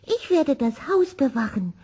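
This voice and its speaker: A woman voicing a gentle, wistful little boy kitten in a children's storybook, speaking earnestly. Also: sits high, sing-song, measured pace, voice pushed up, exaggerated voice.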